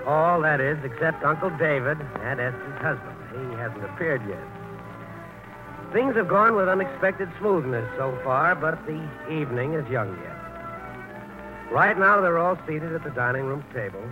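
An actor serving as the wry, genial narrator of a vintage radio play, delivering scripted narration. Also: male voice, on the high side, lively intonation, a little slow. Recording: muffled old radio broadcast, music underneath.

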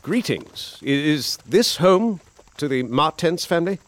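A man's voice: a little high, lively intonation, measured pace. An actor playing a polite young war veteran who narrates his journal and stammers hesitantly, in a radio drama. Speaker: narrator and lead character.

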